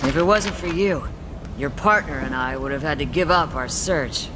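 An actor playing a rugged, hearty, gruffly caring veteran male adventurer in a video game cutscene, speaking with dramatic emphasis. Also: fairly high pitch, normal speed, background noise, close to an everyday voice. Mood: grateful, hearty. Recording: loud music over the voice.

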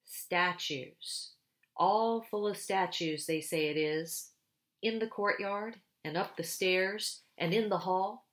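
A woman's voice: fairly low pitch, slow pace, voice pushed up. A woman voicing a kindly, gloomy male beaver in a storybook read-aloud, talking earnestly.

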